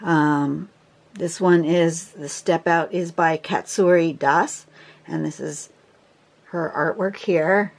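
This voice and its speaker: A woman with a hoarse voice from a cold and laryngitis, talking casually through a drawing tutorial, chatty and rambling with fillers and throat clearing. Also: deep voice, slow pace. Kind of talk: casual instructional talk. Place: indoors at a table, filming a phone screen.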